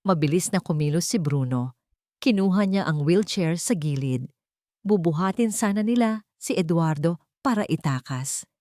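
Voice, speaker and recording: Expressive, lower-voiced woman telling a drama. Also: normal speed, no echo. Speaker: storyteller. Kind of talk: dramatic scripted story narration.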